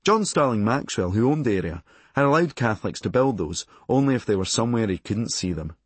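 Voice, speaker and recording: Husky Glaswegian man narrating, swooping pitch. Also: lively intonation, a little fast, no echo. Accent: Scottish, Glasgow. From Glasgow, Scotland. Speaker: audiobook narrator and author. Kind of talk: scripted memoir narration.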